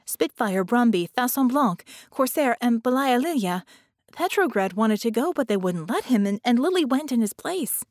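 A woman voicing an intense, quick-thinking young female magician in an audiobook, talking urgently as if thinking aloud. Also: fairly high pitch, dry recording, studio quality, voice pushed up. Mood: startled, urgent. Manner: excited, urgent, thinking out loud. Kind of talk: audiobook character voice.

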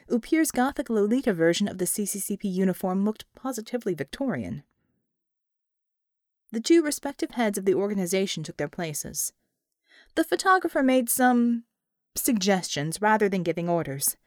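A woman reading a novel as an audiobook narrator, in an expressive scripted storytelling style. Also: mid-range voice, dry recording, studio quality.

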